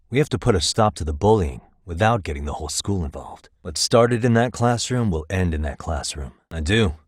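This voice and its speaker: A man's voice: sits low, no echo, clean studio sound. A man playing a wry, easygoing private detective in a video game, speaking in a casual, conversational way. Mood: composed, serious. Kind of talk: video game dialogue voice acting.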